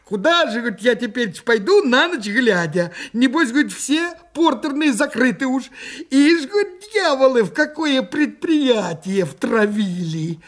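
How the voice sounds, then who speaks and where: A stubborn, hard-drinking workman of a comic storybook, played by a male reader. He grumbles colloquially and grudgingly, with lively swings of pitch. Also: a little slow, heavily acted. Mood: disgruntled.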